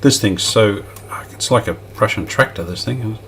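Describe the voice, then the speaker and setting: A man's voice: lowish voice, moderate pace, a bit bright, slight background noise. A man giving casual, plain-spoken narration of a hands-on restoration job, explaining it step by step without hurry. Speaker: restoration hobbyist presenting his own video.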